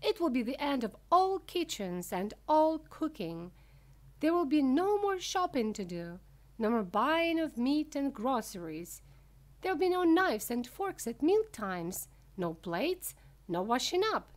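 A woman voicing an eccentric, excitable male candy inventor, proud and emphatic as a showman, in a storybook reading. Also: high voice, slow pace, exaggerated voice. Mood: grandly boastful.

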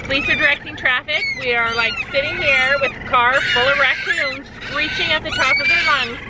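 A woman's voice: high-pitched, wildly swooping pitch, fairly quick. A woman in her forties vlogging from inside a car to a handheld camera, telling an exasperated, laughing story. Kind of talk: casual vlog to camera. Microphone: handheld camera at arm's length. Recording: noisy car with screeching animals.

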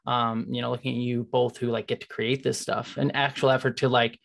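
An interview host talking casually and enthusiastically as a fan, in a rambling, halting way on a video call. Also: mid-range voice, level tone, vocal fry. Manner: rambling, halting, filler-heavy. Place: indoors, remote video call.

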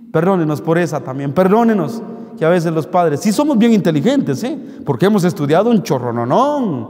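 Mexican priest preaching, kinda high voice, jokes and scolds, big echoey church. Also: male voice, normal speed. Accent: Mexican Spanish. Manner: animated, joking, scolding with rhetorical questions. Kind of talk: homily at Mass. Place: large church, indoors. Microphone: handheld microphone. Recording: long church reverb.

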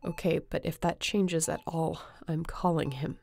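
Casting: actress for tender, guilt-ridden female space captain, heavy vocal fry, halting emotional delivery, sci-fi roleplay. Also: low-pitched, fairly even intonation, a little mellow, full character voice. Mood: relieved, worried. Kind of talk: sci-fi audio roleplay acting.

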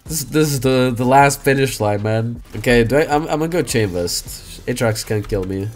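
A young man talks through his match in a loose, chatty way, breaking into loud, cursing outbursts. His voice sits at an ordinary middle pitch for a man. Faint music hums under him. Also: moderate pace. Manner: loud swearing outbursts, excitable. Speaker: game streamer. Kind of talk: casual gaming commentary. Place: small room indoors. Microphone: headset.

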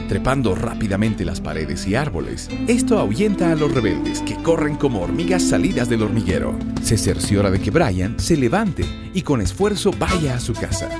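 A man narrating a radio drama in a dramatic, scripted style. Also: mid-range voice.

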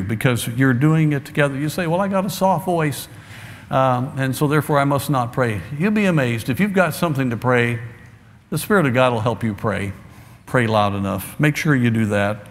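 A middle-aged man preaching from a church pulpit, exhorting the congregation earnestly and often dropping to a quieter tone. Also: mid-range voice. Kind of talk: sermon. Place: large church sanctuary, behind a wooden pulpit. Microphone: pulpit microphone. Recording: long hall reverb in a large sanctuary.

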